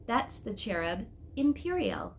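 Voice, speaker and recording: A woman reading a storybook, voicing an earnest young schoolboy who is easily outraged, with emphatic, deliberate delivery. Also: on the high side, very animated, slight background noise, pitched above the performer's own voice. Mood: matter-of-fact.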